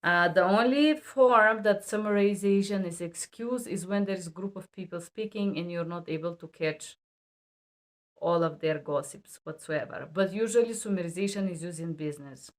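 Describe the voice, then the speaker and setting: A woman's voice: fairly low pitch, moderate pace. A woman who works as a medical and legal interpreter, teaching to camera in emphatic, non-native English and bluntly repeating key rules. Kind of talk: instructional talk to camera.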